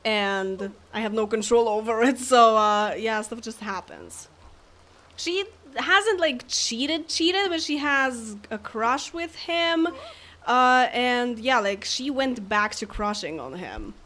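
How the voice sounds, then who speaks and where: A woman's voice: on the high side, lively intonation, slow pace, a bit bright. Chatty woman streaming a game. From Poland. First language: Polish. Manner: chatty, laughs often, talks to game characters. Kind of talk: casual live game commentary.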